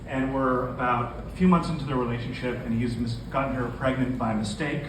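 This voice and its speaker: A man's voice: mid-range voice, fairly even intonation, fairly quick. A man, a novelist, speaking to introduce and read his fiction at a live indoor literary event. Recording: echoey room from a distance, noisy.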